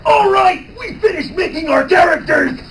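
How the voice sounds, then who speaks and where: A man playing a brash, excitable teenage boy adventurer, loud and goofy, in a live manga reading. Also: very high voice, moderate pace, gravelly, a loud crowd. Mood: excited. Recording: distant live convention recording, some noise.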